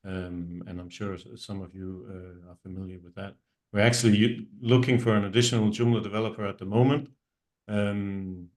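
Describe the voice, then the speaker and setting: A middle-aged Danish man, not speaking his first language, introduces himself and his web agency on a virtual meetup call, with many ums and restarts. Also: on the low side. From Denmark. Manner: halting, many ums and restarts, trailing off quietly. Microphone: video call.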